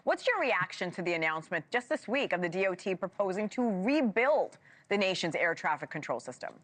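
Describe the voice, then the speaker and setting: A female news anchor with a slightly low voice, conducting a television interview. Her delivery is polished and direct as she puts questions to a guest. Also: very animated, brisk, fairly bright tone.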